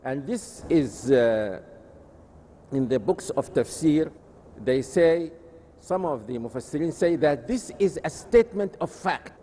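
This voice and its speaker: Man, Arabic accent, religious lecture, deliberate explanatory delivery, repeated phrases, reverberant hall, slight background noise. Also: a little high, expressive, warm tone. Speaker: Islamic preacher and lecturer. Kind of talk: religious lecture with scripture quotations. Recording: noisy, echoey hall.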